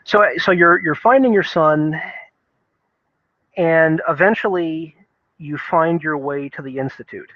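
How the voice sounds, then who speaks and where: A man giving casual, opinionated commentary on video games, speaking emphatically in a halting way, restarting and repeating phrases as he thinks. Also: fairly high pitch, no echo, dull audio.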